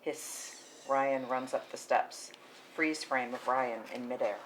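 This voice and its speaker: A woman's voice: a bass voice, fairly even intonation, slow, slight background noise, poor audio. A woman narrating a film script's stage directions at a table read.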